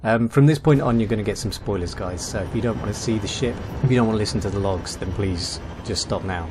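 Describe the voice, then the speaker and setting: A low-voiced man hosts a gaming video, talking through a guide in a casual, conversational way. There is faint noise behind him, like the hum of game ambience. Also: quick pace.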